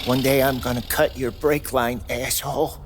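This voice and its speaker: A nervous, simple-minded mechanic voiced by a male actor, tripping over syllables with a heavy stutter. It is game cutscene acting, with clear ambient noise around the voice. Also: medium pitch, steady tone, normal speed, lightly acted. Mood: muttering resentment.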